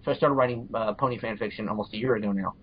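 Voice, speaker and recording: A man chatting casually as a podcast guest, giving blunt opinions in a halting, repetitive way. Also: on the low side, husky. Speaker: podcast guest, fan fiction reviewer. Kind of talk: casual chat. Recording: dull lo-fi voice recording.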